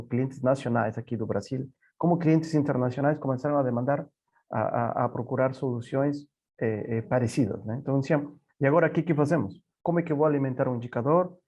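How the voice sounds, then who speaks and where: A man from Peru speaking Portuguese with a Peruvian Spanish accent, giving a halting conference presentation over a video call, often repeating words and saying "eh". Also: medium pitch, a little mellow. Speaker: panelist, software company representative. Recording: dull lo-fi call audio.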